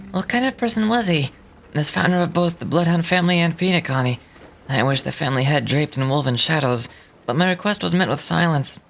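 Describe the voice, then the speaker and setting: A woman's voice: deep voice, vocal fry, voice pushed down. A woman voicing a proud, earnest male hound officer in a video game, reading his dialogue in a solemn, formal, storytelling manner.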